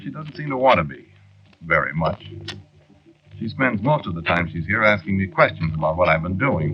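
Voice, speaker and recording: An actor playing a weary, centuries-old henpecked man in a 1940s radio drama, confiding in a hesitant, wry way. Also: low-pitched. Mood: weary, resolved. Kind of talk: 1940s radio drama acting, first-person narration. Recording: dull, noisy 1940s radio recording.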